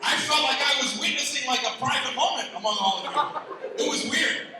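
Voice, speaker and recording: A Palestinian man doing a lively stand-up set, teasing the crowd and jumping up in pitch when excited. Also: fairly quick. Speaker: stand-up comedian. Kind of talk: stand-up comedy set at a gala. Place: large banquet hall. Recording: far-off mic in an echoey banquet hall with noise.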